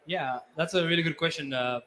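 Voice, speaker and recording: A man with a slightly high voice speaks on a panel as a climate researcher. He explains things earnestly, with faint event ambience behind him. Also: fairly quick, a bit bright, slight background noise. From Nepal. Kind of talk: spontaneous panel talk.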